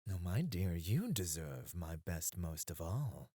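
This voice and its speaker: Man playing flirtatious male bunny host, unhurried, dry close sound, courtly, teasing, devoted, ASMR roleplay. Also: deep voice, sing-song, warm tone, slightly rough, no echo, full character voice. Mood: flirty, eager. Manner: teasing, courtly, eager to please. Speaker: club host.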